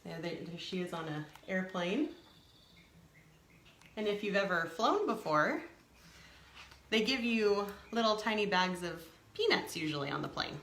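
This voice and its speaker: A woman reading a picture book aloud to young children, expressively, pausing to add simple explanatory asides. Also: fairly low pitch, sing-song, a bit bright, echoey room, a little hiss or hum. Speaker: storytime reader.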